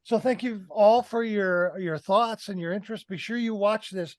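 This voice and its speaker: High-pitched older man hosting a discussion. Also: normal speed, dry recording. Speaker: podcast host. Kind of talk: film review discussion. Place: indoor room on a video call. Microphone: headset.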